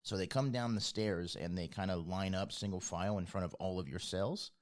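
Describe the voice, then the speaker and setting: A man with a fairly low voice runs a tabletop role-playing game. He narrates scenes off the cuff, describing things in informal, everyday language. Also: steady tone, fairly quick. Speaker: game master.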